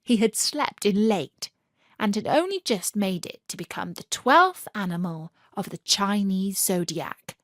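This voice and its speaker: A woman storyteller narrating a scripted tale for children in a measured, expressive way. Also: mid-range voice, no room sound, studio quality.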